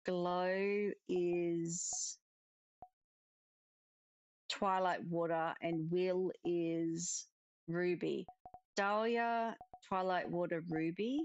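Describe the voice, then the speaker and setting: A woman's voice: on the low side, fairly even intonation, very slow, long pauses, darkish tone, clear, resonant tone, lo-fi sound. A woman giving casual first-look game commentary, muttering to herself as she reads. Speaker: game player reading dialogue aloud. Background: game music.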